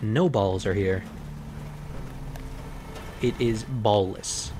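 A slightly hoarse man rambling through casual gameplay commentary, full of fillers and self-corrections. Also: fairly low pitch, drawn-out words, background noise. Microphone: desk microphone.

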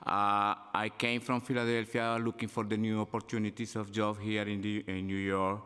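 A middle-aged man from Colombia with a Spanish accent, giving halting, unscripted testimony at a council hearing. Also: fairly low pitch, flat delivery, bright, forceful tone, clean tone. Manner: halting, gesturing with his hands. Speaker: public testifier. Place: large city council chamber. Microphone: desk microphone. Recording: long hall reverb.

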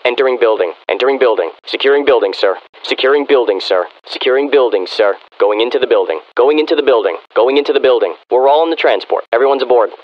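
An actor playing a disciplined American infantry soldier in a military video game, barking clipped, forceful reports to his commander. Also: medium pitch, animated, quick pace, few pauses, highs cut off, natural voice. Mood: brisk, determined.

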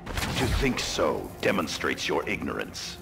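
An actor playing a stern, honorable ninja grandmaster in a video game, formal and grimly commanding, with icy resolve. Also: male voice, very deep voice, huge pitch swings, a little slow, creaky, exaggerated voice. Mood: stern warning. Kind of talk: video game fighter acting. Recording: loud music and effects behind.

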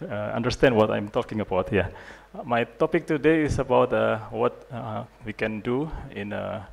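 A man in his thirties with an Indonesian accent, giving a conference lecture on a handheld mic in a large hall, halting and self-deprecating with many fillers. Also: medium pitch, vocal fry. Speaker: guest lecturer, urologist.

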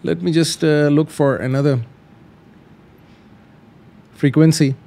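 A man's voice: on the high side, fairly even intonation, slow, vocal fry, mellow. A man hosting a tutorial, explaining things casually step by step and repeating simple instructions. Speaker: host of flight simulator tutorials.

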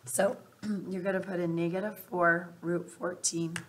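A woman's voice: on the low side, level tone, unhurried, fairly bright tone. A woman in her thirties, a math teacher, explaining a lesson step by step to her students with a scratchy voice. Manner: patient step-by-step explaining, addresses students directly. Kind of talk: recorded math lesson over worksheet. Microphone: webcam bubble over screen recording.

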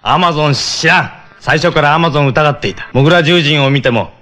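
An actor playing an earnest young jungle-raised hero in a 1970s Japanese superhero TV drama, speaking intensely in terse, halting, broken sentences. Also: male voice, fairly high pitch, sharp tone. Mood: urgent, forceful.

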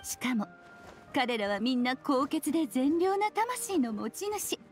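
A woman playing a playful, mysterious, self-assured witch in an anime video game, with a teasing, unhurried delivery. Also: sits high, big pitch swings, faint music behind. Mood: serene explaining.

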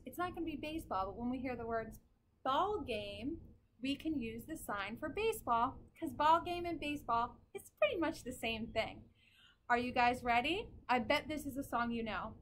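A woman's voice: sits high, animated, moderate pace, a bit bright, a little hiss or hum. A young woman, a teacher speaking to small children in a simple, encouraging way. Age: young adult. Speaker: preschool teacher. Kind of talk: children's lesson and storybook read-aloud.

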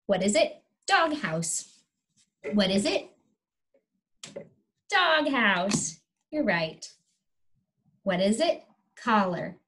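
A woman's voice: medium pitch, fairly bright tone. A young woman teaching small children in simple, repeated, encouraging phrases, recorded indoors on a device mic a little way off. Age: young adult. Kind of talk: instructional lesson for young children. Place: small room indoors, sitting on the floor.

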